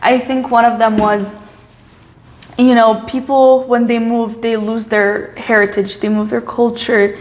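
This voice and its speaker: A woman's voice: a little high, level tone, measured pace. Earnest young woman, slightly muffled audio. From Egypt. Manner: reflective, earnest, many fillers and restarts. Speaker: guest. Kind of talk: casual interview.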